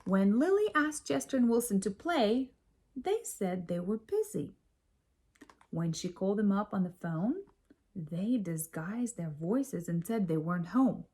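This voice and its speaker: A woman reading a children's story aloud in an expressive, measured storytelling manner. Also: medium pitch, breathy edge. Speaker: storybook reader.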